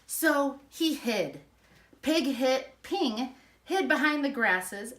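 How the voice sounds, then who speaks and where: A woman reading a children's storybook aloud in an expressive, emphatic storytelling manner. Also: mid-range voice. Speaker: school principal reading aloud.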